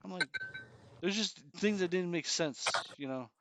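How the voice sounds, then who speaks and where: A man's voice: sits high, animated, normal speed, very noisy. A man in his forties co-hosting a podcast, chatting casually with a joking, profane manner. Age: 40s. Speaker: podcast co-host. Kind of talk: casual chat.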